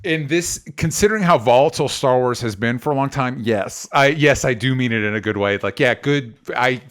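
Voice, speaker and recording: A man's voice: medium pitch, brisk. Rambling, opinionated male podcast host. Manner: rambling, opinionated, self-correcting, casual swearing. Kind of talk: casual pop culture discussion.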